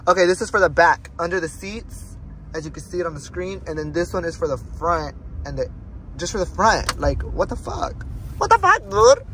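Chatty high-voiced young man in a car. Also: sing-song, normal speed, fairly bright tone, a little hiss or hum, rough recording. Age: young adult. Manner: chatty, swearing, laughing a lot. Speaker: vlog host. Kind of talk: casual chat. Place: inside a car. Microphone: phone camera on the dashboard.

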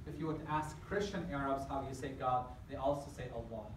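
A man's voice: medium pitch, fairly quick, a little hiss or hum. A middle-aged man from Afghanistan giving a campus lecture, asking the audience questions as he explains. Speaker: guest lecturer. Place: large lecture hall indoors. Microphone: far camera at the back of the hall. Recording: echoey hall from far off.

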